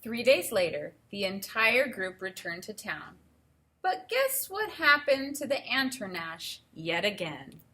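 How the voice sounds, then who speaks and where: A woman teacher giving an expressive read-aloud for children, with enthusiastic explanatory asides. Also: medium pitch, a little slow. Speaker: teacher reading a picture book aloud.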